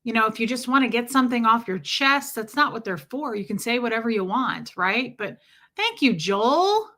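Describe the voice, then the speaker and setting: A woman hosting a casual livestream, chatting warmly and greeting viewers by name as she answers their comments. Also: on the high side, animated, normal speed. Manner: chatty and warm toward viewers, many fillers. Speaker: livestream host.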